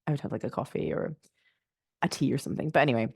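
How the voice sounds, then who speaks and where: A low-pitched young woman talks in a loose, rambling way, full of fillers. Her voice sounds stuffy and nasal from a head cold, and the recording is close and very dry. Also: fast, creaky, husky, no echo. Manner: chatty and rambling, with frequent "like" fillers and laughs. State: congested, nasal from blocked sinuses. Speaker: podcast host. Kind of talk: relaxed solo podcast chat. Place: spare room at home.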